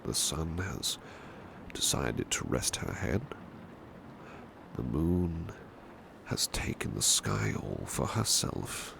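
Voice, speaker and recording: A devoted, protective British butler, acted by a man for an ASMR roleplay, with an extremely low voice full of heavy creak. He speaks very slowly and softly, in formal, courteous phrases that often sink toward a whisper. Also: heavily acted. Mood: wistful, calm. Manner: formal, courteous, hushed and hesitant, with long pauses. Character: devoted, gentle, protective male butler of an estate. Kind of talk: ASMR roleplay, soft intimate speech slipping into whispers. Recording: clear steady rain-like background noise.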